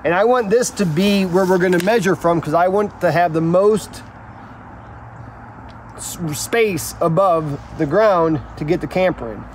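Guy with a high voice chatting and joking on a building site, noisy outdoor audio. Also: unhurried. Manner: joking, slangy, animated. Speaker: builder and video co-host. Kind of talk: casual on-site explaining and banter.

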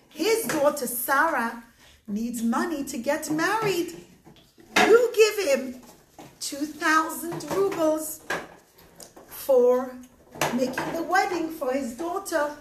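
A woman plays a confident little boy from a folk tale, helpful and reassuring. The voice is high. There is room echo and faint noise around it.

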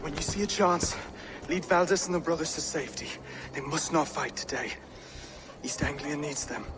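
A man's voice: a little high, moderate pace, music in the background, close to an everyday voice. An actor playing a resolute, honourable Saxon king-to-be in a video game, speaking firmly and formally. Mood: grave, determined. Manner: earnest, firm, formal. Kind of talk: video game cutscene acting.

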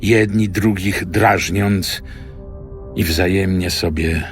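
A very deep, creaky voice speaks slowly over clear background music. It is an earnest, stern apostle, grave and emphatic, delivering an audio Bible monologue. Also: male voice, fairly even intonation. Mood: earnest teaching. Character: stern, earnest apostle teaching his followers.

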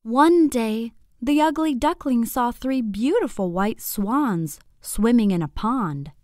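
Lady reading a kids bedtime story, takes it really slow, very up and down expressive voice. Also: fairly high pitch, no room sound, clean studio sound. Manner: expressive, deliberate, clearly phrased delivery for young children. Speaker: children's storyteller. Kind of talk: scripted bedtime story read-aloud.

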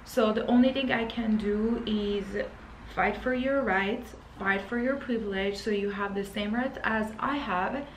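A woman's voice: mid-range voice, a little slow. A woman in her thirties who grew up in Iran, speaking to camera as a lifestyle vlogger in an earnest, hesitant, emotional way. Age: 30s. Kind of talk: earnest personal address to camera. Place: indoors, living and dining room at home. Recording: roomy echo, faint background noise.

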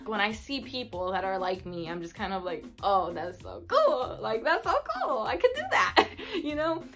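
A woman in her twenties, a native Spanish speaker, chatting casually to camera in an expressive, slangy way with Spanish words mixed in. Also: mid-range voice, normal speed. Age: 20s. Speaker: vlogger. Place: small bedroom indoors. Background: instrumental music.

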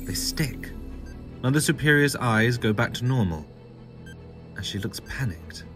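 A man's voice: medium pitch, lively intonation, measured pace, a bit bright. A man reading scripted narration for an audio drama, describing the scene as a storyteller. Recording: music behind the voice.

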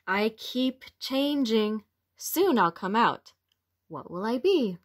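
A woman's voice: fairly high pitch, exaggerated voice. A woman voicing a cheerful young caterpillar that becomes a butterfly in a picture book, speaking expressively for young children. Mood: slow, wondering. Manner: slow, expressive, simple exclamations for young children.

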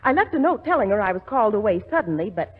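Husky hotel guest, tinny radio. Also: female voice, mid-range voice, big pitch swings, fast talker. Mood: puzzled, brisk. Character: brisk departing female hotel guest. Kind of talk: 1940s radio comedy acting. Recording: tinny, narrow 1940s radio recording.

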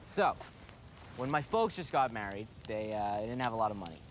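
A man playing a cocky, wisecracking guy who tells friends a crude, slangy tale with dramatic pauses. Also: fairly low pitch, expressive, background noise, lightly acted. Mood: teasing, wry. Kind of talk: casual storytelling in a film-style drama. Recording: music behind the voice.

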